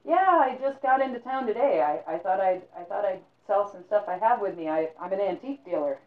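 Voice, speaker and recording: A man's voice: high-pitched, lively intonation, fairly quick, far from the mic. A woman voicing a wary male antique dealer in a told story, stammering and hesitant, heard on a tinny, roomy line. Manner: hesitant, stammering, then alarmed. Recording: echoey, phone-like sound.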